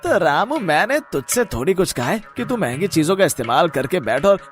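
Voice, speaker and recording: A man's voice: a little high, sing-song, fairly quick, soft background music. A man voicing a mocking, boastful, cheating rival sweet seller in a moral-story cartoon, with a taunting manner.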